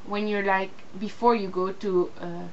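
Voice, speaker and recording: A young woman from Belgium speaks English as a second language, pausing often with fillers and restarting her sentences. Her voice sits at a middle pitch and comes through slightly dull, lo-fi webcam audio with a faint hiss behind it. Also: fairly even intonation. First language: not English. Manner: hesitant, many fillers and restarts. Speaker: vlogger. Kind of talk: casual video response.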